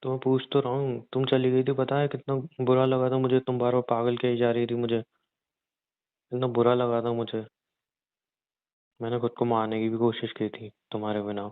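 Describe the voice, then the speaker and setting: Young husband, played by a male actor, coaxing, insistent and sulky, mid-pitched voice, tinny phone-quality audio. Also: monotone, normal speed, clear, resonant tone, lightly acted. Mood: wounded, pleading. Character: pleading, sulky young husband wooing his wife. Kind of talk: staged intimate phone-call style conversation. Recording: thin, phone-like narrowband audio.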